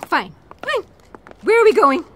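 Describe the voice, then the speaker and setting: A woman's voice: very high voice, big pitch swings, measured pace, slight background noise, heavily acted. A woman acting a spy's sarcastic, exasperated girlfriend on the run in a sci-fi comedy audio drama. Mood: indignant.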